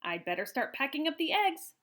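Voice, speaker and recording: An excitable, kindly storybook farm woman, as a woman reader acts her out, gushing in a high voice with big swoops. She exclaims over things and dotes on her animals. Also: brisk. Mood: flustered.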